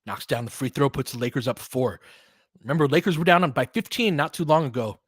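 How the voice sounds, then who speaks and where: Man, medium pitch, dry sound, casual basketball commentator, partisan, reactive, informal play-by-play. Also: lively intonation, brisk, slightly rough, dry recording. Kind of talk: casual fan-style live basketball commentary.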